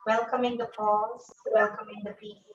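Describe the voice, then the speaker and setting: A woman leading a guided breathing meditation in a slow, soft, calm voice with long pauses. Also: medium pitch. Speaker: yoga teacher. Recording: echoey room, voice from a distance, dull sound.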